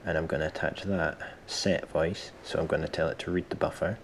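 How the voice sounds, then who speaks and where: Male, extremely low pitch, gravelly creak, informal step-by-step tutorial delivery, thinking aloud. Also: fairly even intonation, fairly quick, slight background noise. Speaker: tutorial host. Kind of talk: software tutorial, explaining step by step.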